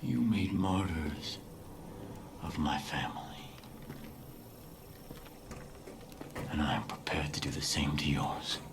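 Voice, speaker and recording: Low male video game villain, fanatical cult preacher, breathy and hushed, solemn menace, roomy echo. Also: expressive, noisy background, heavily acted. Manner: slow, deliberate, solemn, preaching. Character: fanatical cult leader, soft-spoken menacing doomsday preacher. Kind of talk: dramatic sermon-like game acting.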